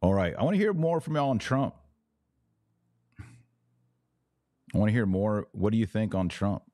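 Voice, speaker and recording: Casting: male podcast host, very low voice, close dry sound, emphatic religious commentary delivered live. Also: big pitch swings, measured pace, mellow, no room sound. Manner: emphatic and preachy, with short declarative repeats.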